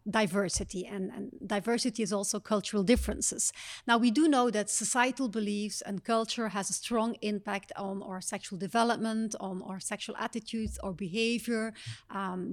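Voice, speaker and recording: A woman from Belgium hosting a podcast as a psychologist, speaking in a measured, explanatory way with frequent fillers. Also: medium pitch. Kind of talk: podcast conversation.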